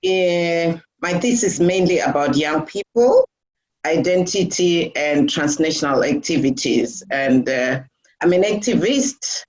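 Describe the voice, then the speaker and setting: A woman's voice: fairly low pitch, expressive, unhurried, a little mellow. Woman from Zimbabwe, fifties, hesitant with many fillers, muffled lo-fi audio. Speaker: guest. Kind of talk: casual interview talk. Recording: dull lo-fi audio.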